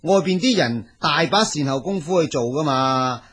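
Male actor as fretful monk, raspy, higher-pitched, complaining, persuasive, Cantonese accent, audio drama. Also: animated, normal speed, a bit bright. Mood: worried. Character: grumbling, worried monk who doubts and schemes.

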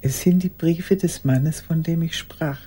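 An older actress playing a lonely, pleading old woman in a radio play monologue, halting and intense, with a husky voice. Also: low-pitched, warm tone. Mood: pleading, earnest.

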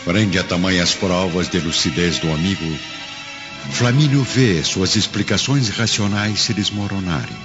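A very low male voice narrates a radio drama with solemn, literary phrasing. The recording is slightly dull, and music plays behind him. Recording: dull audio.

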